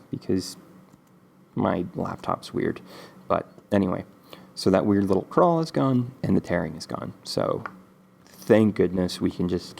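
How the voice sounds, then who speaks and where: A man hosting a screen-recorded game coding tutorial, stuffy from a cold, talking in a relaxed, rambling way with many ums. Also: sits low, gravelly. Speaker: game development tutorial host.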